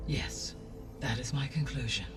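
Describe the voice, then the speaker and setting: Guy doing a calm male ai adviser for a game, formal n precise, bit off the mic, loud music under. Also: medium pitch, unhurried, far from the mic, lightly acted. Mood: measured. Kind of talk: scripted video game cutscene acting. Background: game soundtrack music.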